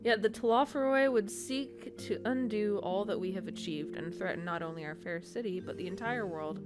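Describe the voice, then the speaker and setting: A woman's voice: mid-range voice, moderate pace, lightly acted. A woman voicing a formal, dutiful female knight officer in a fantasy game. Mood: formal, composed. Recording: game music behind the voice.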